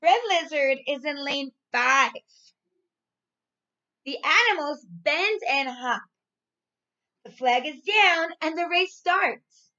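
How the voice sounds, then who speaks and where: A woman in her thirties, a primary school teacher reading and explaining to young children in an expressive, clearly enunciated way. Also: sits high, slow pace, pauses often, dull audio. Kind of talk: read-aloud story and lesson for young children. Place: small furnished room at home, indoors.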